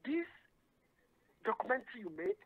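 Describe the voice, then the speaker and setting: A Ghanaian man phones in to a radio debate, his pitch leaping up and down as he presses his argument. He stumbles and repeats words as he pushes on. The line sounds dry, with no room around him. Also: moderate pace, husky, no echo, lo-fi sound. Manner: animated, argumentative, stumbling repetitions. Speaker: caller. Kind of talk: phone-in call on a talk radio show.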